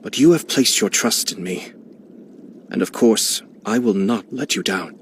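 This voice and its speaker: An actor voicing a genteel, scheming young male clan head in a video game, speaking in a smooth, measured and courteous way with quiet amusement. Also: on the low side, slight background noise. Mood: composed. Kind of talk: video game character voice lines.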